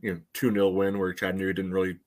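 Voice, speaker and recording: A man giving casual sports analysis on a podcast in a measured, hedging, conversational way. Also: deep voice, flat delivery.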